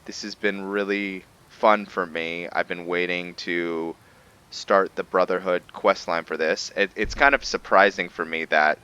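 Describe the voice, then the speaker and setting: A man's voice: deep voice, steady tone, measured pace, pushed and bright, smooth, lo-fi sound. A man casually commenting while he plays a video game, rambling and thinking aloud with many ums. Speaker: gaming video creator. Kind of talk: casual let's-play commentary.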